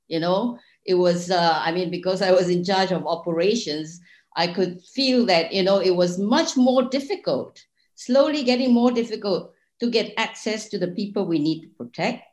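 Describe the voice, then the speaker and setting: A woman's voice: fairly low pitch, a little mellow. A woman speaking emphatically as a panelist and former operations head on a video call. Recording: echoey room on video call.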